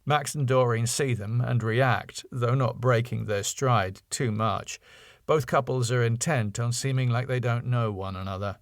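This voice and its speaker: A man's voice: medium pitch, a little slow, no echo, clean studio sound. A man narrating a comedy script, reading out scene headings and stage directions. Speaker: writer and narrator.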